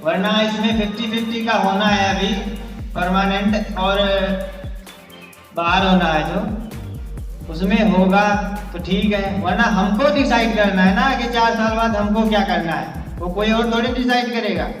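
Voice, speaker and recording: A man's voice: sits high, measured pace, soft background music. A man in his twenties, a newly selected army recruit, answers interview questions and gives direct, plain-spoken advice. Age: 20s. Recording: echoey hall, from far off, dull.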